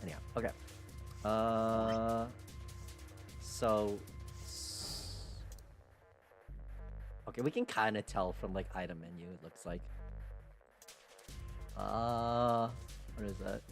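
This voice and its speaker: A man playing a game and commentating casually, swearing and getting excitable as he goes. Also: on the low side, slow pace, gravelly, long pauses. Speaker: streamer, main player. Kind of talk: casual gameplay commentary and dramatic read-aloud. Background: background music.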